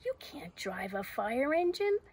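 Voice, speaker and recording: Nagging little voice of doubt voiced by a woman, raised pitch, taunting and persistent, storybook character. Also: big pitch swings, unhurried, noisy background, exaggerated voice. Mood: nagging, dismissive. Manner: taunting, questioning.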